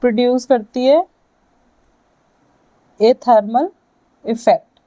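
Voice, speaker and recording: Slow-paced, higher-pitched Indian woman lecturing. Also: dark tone. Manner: deliberate, halting phrase-by-phrase delivery, often checking in. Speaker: physiotherapy lecturer. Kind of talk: lecture explaining to students, dictating key points.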